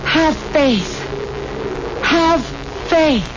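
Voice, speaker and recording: A woman's voice: sits high, animated, extremely slow, full character voice. A woman playing a trusting young granddaughter with serene, unshakable faith, speaking fervently and solemnly in 1970s radio drama style. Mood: fervent, reassuring. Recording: noisy, muffled vintage radio broadcast.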